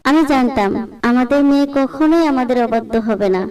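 A woman plays a fussing cartoon mother of middle age. She is insistent and high in pitch, recorded a little distant from the microphone. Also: a little fast, a little mellow, close to an everyday voice. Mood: pleased.

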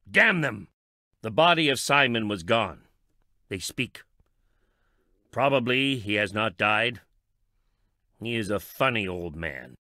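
An actor playing a brooding, bitter, sardonic eccentric man in a video game, with theatrical, measured delivery. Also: medium pitch, bright, forceful tone, dry recording, clean studio sound. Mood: scornful, then cryptic, wry. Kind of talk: dramatic game voice acting.

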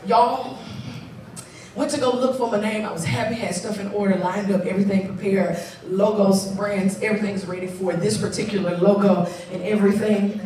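A woman of thirty-nine preaching on a church stage with a handheld mic, chatty and playful, then building to shouts. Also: medium pitch, fairly even intonation, moderate pace. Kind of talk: sermon. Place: large church sanctuary, stage. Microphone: handheld microphone. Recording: echoey hall, far mic, crowd responses. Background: congregation calling back.

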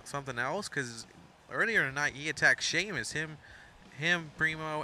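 A young man calls a wrestling game in a loose, rambling play-by-play, stopping to correct himself and toss in asides. His voice is very bright and sharp, over a faint hiss of noise. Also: medium pitch. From Mexican. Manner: rambling, chatty, self-interrupting with asides. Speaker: fan commentator of a wrestling video game storyline. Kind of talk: casual play-by-play commentary.

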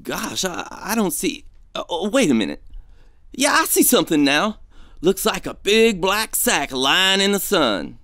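An actor playing an uneasy, superstitious male gold prospector in an audio drama, speaking in a folksy, hesitant, excitable way. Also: sits high, measured pace, no room sound, clean studio sound, full character voice. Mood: nervous.

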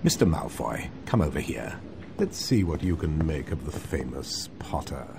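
An actor playing a stern, sinister wizard teacher in a video game, with a drawling, menacing manner. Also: male voice, rumbling low, sing-song, normal speed, vocal fry, background noise, heavily acted. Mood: cold, commanding. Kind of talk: video game cutscene acting.

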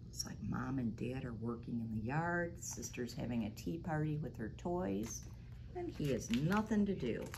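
A middle-aged woman reading a picture book aloud to children as a library storytime host, animated and sing-song, now and then dropping to a stage whisper. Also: extremely low pitch, normal speed, gravelly, background noise. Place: small library room, indoors. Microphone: lapel mic.

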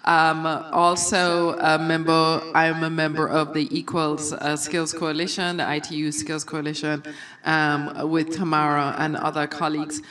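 Low-voiced woman, distant room mic. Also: flat delivery, measured pace, a crowd in the background. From Jamaica. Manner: hesitant with many fillers. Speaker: NGO representative. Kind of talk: panel introduction. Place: conference room.

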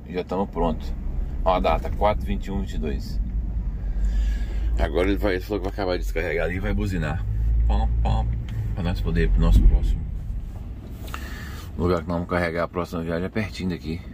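A man's voice: low voice, flat delivery, quick pace, long pauses, background noise. A man from Brazil with a Brazilian Portuguese accent, a truck driver chatting and joking to his camera from inside his truck cab. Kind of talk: casual vlog narration. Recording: loud background music over voice.

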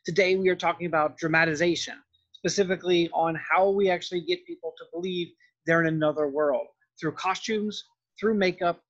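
A man's voice: a little high, steady tone, brisk, a bit bright, highs cut off. A middle-aged man giving an informal, explanatory video lecture as a professor. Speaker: art history professor.

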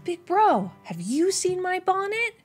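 Woman voicing a high-pitched pleading little girl. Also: big pitch swings, measured pace, faint music behind, heavily acted. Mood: worried, pleading. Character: young girl, worried, searching, affectionate with her family.

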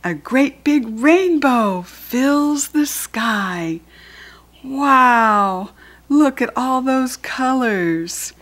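A woman's voice: sits high, lively intonation, drawn-out words, a bit bright. An older woman with an American accent reads a picture book aloud to young children, teaching as she goes with simple questions and pointing things out. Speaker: children's read-aloud host and reading teacher.

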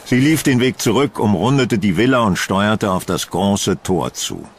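A man's voice: fairly low pitch, lively intonation, moderate pace, husky. A man narrating a horror audio drama in a dramatic, scripted storytelling style.

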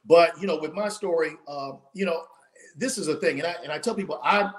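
A man's voice: a little high, brisk, low-quality recording. Man telling his story on a video call. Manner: animated, storytelling with many restarts. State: losing his voice. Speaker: podcast guest, author. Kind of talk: casual interview storytelling. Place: home room, indoors.

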